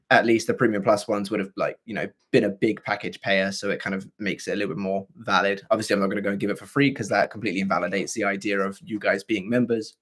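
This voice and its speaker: Hoarse man, casual livestream chat. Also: fairly low pitch. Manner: chatty and rambling, with frequent fillers and throat clearing. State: hoarse, throaty, scratchy voice. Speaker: livestream host. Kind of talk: casual livestream chat answering viewer comments.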